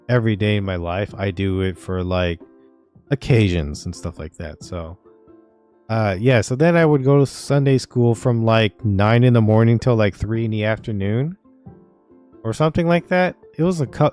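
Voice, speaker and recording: A young man with a somewhat low voice chats casually on a game stream, wandering through his stories with plenty of fillers and restarts. Soft game music plays faintly behind him. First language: Vietnamese. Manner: rambling, filler-heavy storytelling. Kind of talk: casual gaming stream chat.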